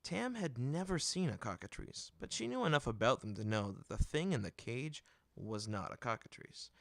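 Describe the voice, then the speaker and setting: A man reading a novel aloud in an expressive storytelling manner, close to the microphone. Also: mid-range voice, moderate pace, creaky, dry recording. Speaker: audiobook reader. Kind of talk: scripted fiction narration. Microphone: close microphone, dry room.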